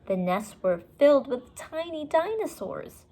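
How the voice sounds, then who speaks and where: A woman reading a children's story aloud, with expressive, deliberate and dramatic narration. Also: sits high.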